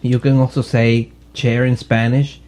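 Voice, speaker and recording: A man's voice: mid-range voice, level tone, unhurried, darkish tone. A man hosting a casual podcast with friends, teasing them and laughing often. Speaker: podcast host. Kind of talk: casual joking chat among friends.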